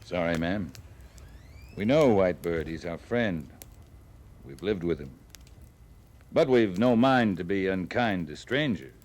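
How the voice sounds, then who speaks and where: An actor playing a terse, courteous cavalry captain in a 1950s radio western, on a noisy old recording. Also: male voice, low-pitched, lively intonation, a little mellow. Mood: calm, courteous. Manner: measured, laconic, courteous. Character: steady, terse frontier cavalry captain, male.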